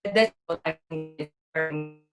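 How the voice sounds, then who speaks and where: A woman's voice: sits low, measured pace, over music. A woman in her forties from Slovakia with a Slovak accent, speaking conversationally as an interview guest. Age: 40s. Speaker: interview guest, fashion model.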